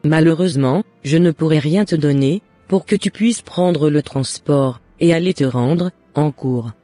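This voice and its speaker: A woman as a long-suffering mother, mellow and dry. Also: low voice, a little slow, no room sound, faint music behind. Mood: hurt, sorrowful. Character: long-suffering, devout, hard-working shopkeeper mother.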